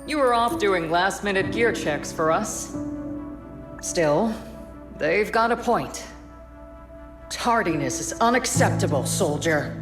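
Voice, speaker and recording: A woman playing a stern, composed colonel in a video game, speaking formally and with measured authority. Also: mid-range voice, expressive, music in the background. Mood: firm, commanding. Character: stern, composed female colonel commanding soldiers. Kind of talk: video game voice acting, military briefing.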